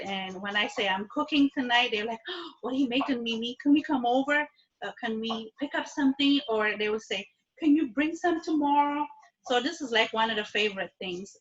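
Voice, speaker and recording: A woman's voice: a little high, a bit bright, reverberant, dull audio. A woman from Ethiopia and Eritrea teaching a live online cooking class from her kitchen, friendly and patient, often checking in with her students. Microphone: video call.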